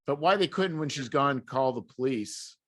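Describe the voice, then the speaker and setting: A man's voice: mid-range voice, lively intonation, moderate pace, a bit bright, slight background noise. A man in a casual podcast conversation, explaining his opinions in an informal way. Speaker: podcast speaker discussing film franchises. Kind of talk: casual chat.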